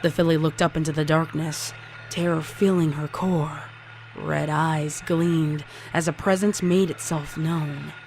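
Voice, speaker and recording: A woman's voice: deep voice, steady tone, a little slow, a little mellow. A woman reading scripted horror fiction as a dramatic story narrator. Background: music under the voice.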